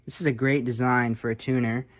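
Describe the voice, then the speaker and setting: A man's voice: medium pitch, flat delivery, a little fast. A man giving casual, unscripted commentary on his own hands-on repair, offhand and thinking aloud as he works. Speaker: hobbyist restorer presenting his own repair video.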